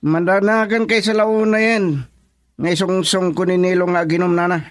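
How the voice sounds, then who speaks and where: Man acting angry protective Filipino father, high-pitched. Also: steady tone, moderate pace, lightly acted. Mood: threatening. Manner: scolding, confrontational. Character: angry, protective father confronting his daughter's suitor. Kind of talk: Ilocano radio drama acting.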